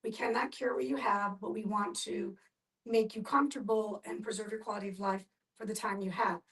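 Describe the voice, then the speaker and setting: A woman explaining things to a discussion group in a measured, instructive way. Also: medium pitch, fairly even intonation, a bit bright. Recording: distant from the microphone.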